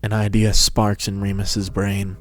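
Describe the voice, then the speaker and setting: A man's voice: lowish voice, monotone, unhurried. A man narrating a fan-fiction story as a scripted dramatic reading.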